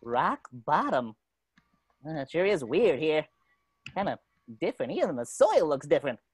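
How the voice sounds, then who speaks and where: Woman as a wildly swooping, excitable cartoon sponge. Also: deep voice, moderate pace, slightly rough, no room sound, clean studio sound, heavily acted. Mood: uneasy, muttering. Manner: theatrical, exclaiming, talking to self. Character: excitable, naive young male cartoon sea sponge. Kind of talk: amateur table read of a cartoon script.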